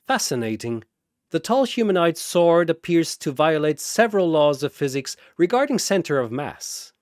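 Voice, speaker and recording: A man's voice: on the high side, very animated, normal speed, a little mellow, dry recording, studio quality, voice pushed up. A man voicing a precise, emotionless android in a science fiction story, speaking formally and analytically. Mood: detached. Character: precise, emotionless male android calculating threats.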